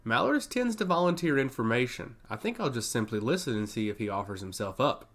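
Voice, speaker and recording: A man's voice: mid-range voice, lively intonation, a little fast, fairly bright tone. A man voicing a proud, diplomatic noble sister in a fantasy audiobook, with haughty, articulate delivery. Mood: confident.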